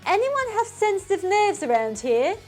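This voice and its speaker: A woman's voice: very high voice, very animated, normal speed, faint music behind, voice pushed up. A woman voicing a nervous, urgent young British man trying to sound cool and heroic in a story. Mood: cool bravado. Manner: stammering, urgent, faux-cool bravado.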